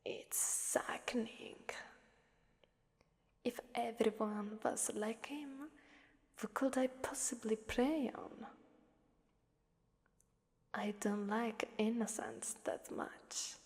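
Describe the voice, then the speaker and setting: Girl doing a creepy hungry demon lady for asmr, super slow breathy whisper, teasing, big echoing reverb. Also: a little high, fairly even intonation, darkish tone. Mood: disgusted, bored. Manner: slow, teasing, menacing, drawn-out phrasing. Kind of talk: ASMR roleplay monologue. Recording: long hellish reverb.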